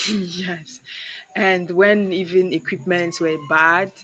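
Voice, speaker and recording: A young woman from Cameroon, a guest speaker on an online panel, talking haltingly with many fillers and restarts. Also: fairly low pitch, fairly even intonation, a bit bright. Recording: noisy background.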